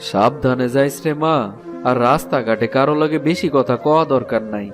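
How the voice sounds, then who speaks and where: A man's voice: medium pitch, fairly quick, music in the background, lightly acted. A man voicing a sorrowful, sickly, doting village father in a cartoon, fretful and plaintive, with a rural eastern Bengali accent. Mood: worried. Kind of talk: Bengali animated fairy-tale voice acting.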